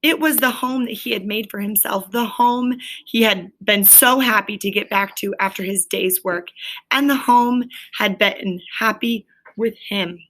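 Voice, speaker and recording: A woman's voice: mid-range voice, unhurried, fairly bright tone. A woman teacher reading a story aloud and explaining it to pupils, with an emphatic, questioning delivery.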